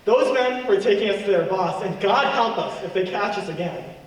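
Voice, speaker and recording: An actor playing a commanding, sardonic fugitive on the run in a radio play. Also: male voice, sits high, moderate pace, natural voice. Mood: exasperated, earnest. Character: wry fugitive man on the run, bullying and sardonic. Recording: echoey hall, far from mic, noisy.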